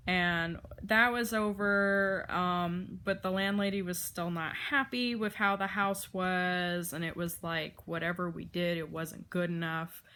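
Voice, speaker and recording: A woman's voice: fairly low pitch, steady tone, a little slow, hardly any pauses, bright, forceful tone. Young woman vlogger, slight Wisconsin accent, casual rambling delivery with frequent fillers and laughs. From Arizona.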